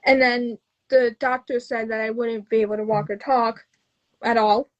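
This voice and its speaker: A woman in her twenties, a podcast guest telling her own story casually, often halting and repeating phrases. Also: fairly high pitch, steady tone, dull audio. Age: 20s.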